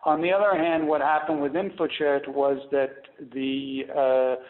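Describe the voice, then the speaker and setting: A man speaking as a company executive on a conference call, explaining things off the cuff in a halting way with repeated and restarted phrases, over a phone line. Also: fairly high pitch, little pitch movement. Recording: narrow tinny phone line, roomy echo.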